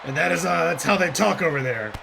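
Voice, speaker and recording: Excitable high-voiced male wrestling commentator. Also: a little fast, vocal fry, crowd noise, noisy background. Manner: excitable, rambling, bursts into shouted calls. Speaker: play-by-play commentator.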